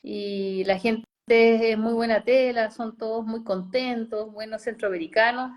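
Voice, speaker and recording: A woman's voice: on the high side, steady tone, measured pace. A woman from Chile and Costa Rica talking casually as an interview guest, in loose, rambling phrases. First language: Spanish.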